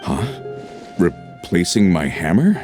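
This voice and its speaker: A man's voice: sits low, very animated, slow pace, music in the background, full character voice. An actor voicing an earnest, burdened working family man of middle age in a video game, speaking expressively. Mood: puzzled, pondering. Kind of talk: animated game voice acting.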